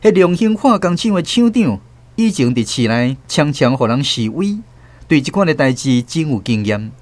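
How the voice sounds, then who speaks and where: A man's voice: on the high side, normal speed, dry recording. A man, host and narrator, reading a story in scripted narration from a radio studio. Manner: steady storytelling.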